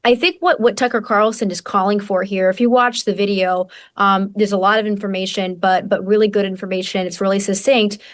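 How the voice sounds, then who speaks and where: A woman's voice: medium pitch, steady tone. An American woman giving political commentary in conversation as a guest journalist, often repeating words as she builds her point. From Wisconsin, United States. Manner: halting, repeats words mid-sentence.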